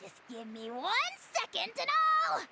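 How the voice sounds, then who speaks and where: A woman's voice: a little high, sing-song, normal speed, gravelly, very noisy. A woman voicing a small, boastful dragon creature in a video game, pompous and theatrical. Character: small blustering boastful self-proclaimed dragon lord creature.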